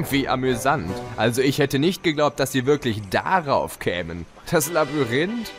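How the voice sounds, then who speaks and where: A man's voice: mid-range voice, expressive, normal speed, lightly acted. A man playing a gloating, mischievous chaos spirit who tells of his schemes in a theatrical, teasing way in an audio drama. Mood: smug, amused. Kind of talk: German fan audio drama, storytelling. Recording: music behind the voice.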